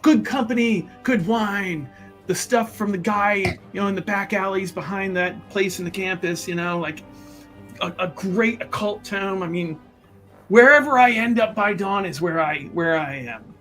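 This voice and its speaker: A man voicing a roguish, thrill-seeking occultist in a tabletop game, rambling, excitable and conspiratorial. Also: high-pitched, normal speed, faint music behind. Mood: excited, reckless.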